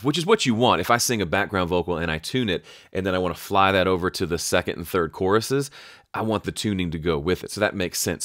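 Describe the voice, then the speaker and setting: A man's voice: deep voice, fairly bright tone, no room sound, studio quality. A man hosting an audio production tutorial in casual, conversational talk, thinking aloud as he goes.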